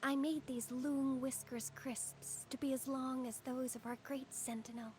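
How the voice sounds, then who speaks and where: A woman voicing an earnest young female swordswoman in a game, soft-spoken and sincere. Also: high-pitched, little pitch movement, a little slow, soft background music. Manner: earnest and soft-spoken, proud battle cries. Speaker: game character. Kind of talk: game character voice lines.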